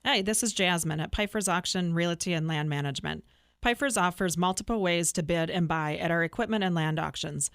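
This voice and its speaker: A woman reading a scripted radio ad in a smooth, polished way. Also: lowish voice, fairly quick, dry recording, studio quality. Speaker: ad announcer. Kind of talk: scripted ad read.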